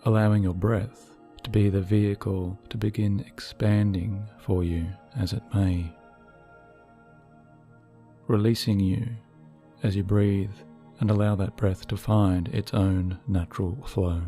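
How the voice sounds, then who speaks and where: A man leads a hypnotic guided meditation with a fairly deep, mellow voice. He speaks in measured, deliberate phrases meant to soothe. Quiet music plays softly behind him. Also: flat delivery. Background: soft background music.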